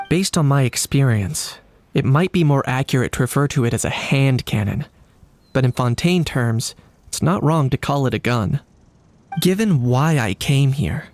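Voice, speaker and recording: A man's voice: medium pitch, darkish tone, lightly acted. Man acting a composed, courteous knight investigator. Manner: polite, measured, analytical. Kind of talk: English game dub acting.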